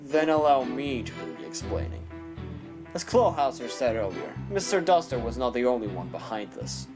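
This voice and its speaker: A man's voice: mid-range voice, very animated, normal speed, over music, natural voice. A man acting a haughty, condescending bird prosecutor in a courtroom audio drama. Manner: pompous, condescending, theatrical.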